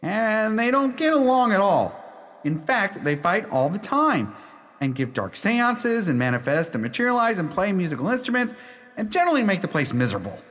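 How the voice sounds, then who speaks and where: An actor playing an exasperated, lovestruck young bridegroom in a radio play, earnest, wordy and theatrical. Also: male voice, high voice, very animated, moderate pace, a bit bright. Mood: explaining, reluctant.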